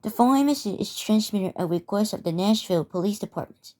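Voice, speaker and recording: A woman reading a scripted emergency announcement in a halting way, stumbling and repeating phrases. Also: on the low side, dark tone. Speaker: narrator of a hobby emergency alert scenario.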